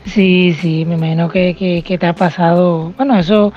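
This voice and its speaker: A woman's voice: lowish voice, fairly even intonation, moderate pace, highs cut off, faint music behind. A woman hosting an interview podcast in a conversational way, asking questions and responding to her guest. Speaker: interview host.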